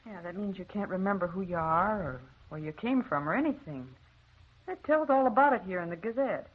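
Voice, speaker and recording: An actress playing a wide-eyed, slangy gossip in an old radio drama, folksy and excitable. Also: female voice, mid-range voice, fairly quick, husky. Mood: excited gossip. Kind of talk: 1940s radio drama acting. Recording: muffled old radio recording.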